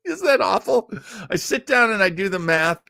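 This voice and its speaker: A man's voice: high-pitched, vocal fry, bright, forceful tone, no room sound. A man in his early sixties hosting a livestream, chatting casually with viewers in a rambling way with jokey asides. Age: early 60s. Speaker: host of a livestreamed classic radio listening show.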